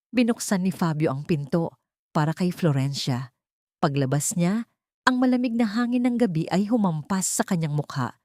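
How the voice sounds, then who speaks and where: A woman narrating a scripted romance audio drama in a measured, solemn, dramatic way. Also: lowish voice, a little mellow, dry recording, studio quality. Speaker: story narrator.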